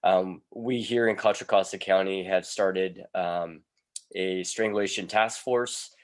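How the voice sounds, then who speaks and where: Deep-voiced congested police sergeant. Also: male voice, steady tone, measured pace. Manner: hesitant, many ums between phrases. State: congested with a cold. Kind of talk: presentation on a video call.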